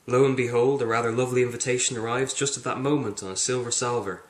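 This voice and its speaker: A man's voice: medium pitch, steady tone, fast talker. A man reviewing a book and reading it aloud theatrically, addressing the listener directly. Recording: roomy, echoing indoor sound.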